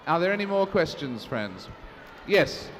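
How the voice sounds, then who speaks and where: A man's voice: on the high side, very animated, measured pace. A man moderating a press conference, speaking formally and measuredly, with frequent restarts and fillers. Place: large assembly hall. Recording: reverberant hall, faint noise.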